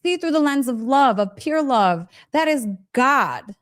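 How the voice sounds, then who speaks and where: A woman with a fairly high voice talks as a spiritual teacher on a casual live stream. She is gushing and emphatic, often repeating phrases for weight. She speaks straight to her listeners, checking in with little questions as she goes. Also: lively intonation, unhurried. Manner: chatty, direct address with frequent check-in questions. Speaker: host of a live story session, spiritual teacher.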